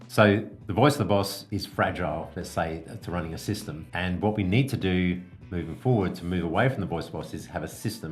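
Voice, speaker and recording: A man with a very low voice speaks as a business coach hosting a podcast. He explains conversationally, working step by step through examples. Faint music plays behind him.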